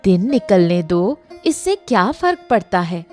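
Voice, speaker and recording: A woman acts out a practical village homemaker in a cartoon moral tale. Her voice sits a bit low and moves with lots of expression, with quiet music behind it. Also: very animated, a little slow. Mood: impatient. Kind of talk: Hindi animated moral story acting.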